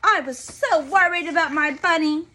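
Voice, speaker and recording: A woman reading a storybook, voicing excitable, earnest little girls. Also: very high-pitched, moderate pace, pitched above the performer's own voice. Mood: relieved, earnest.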